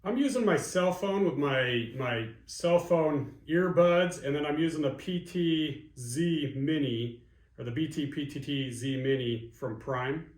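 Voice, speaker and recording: A man's voice: fairly high pitch, unhurried. A man presenting products as a company spokesman in a straightforward promotional video style. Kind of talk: scripted product narration, later casual demo chat.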